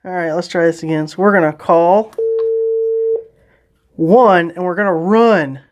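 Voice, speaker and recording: A man's voice: high voice, a little slow, dull audio. A man giving a casual, informal hands-on product test narration, with a self-deprecating manner. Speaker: product reviewer. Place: indoors around a house, behind the camera.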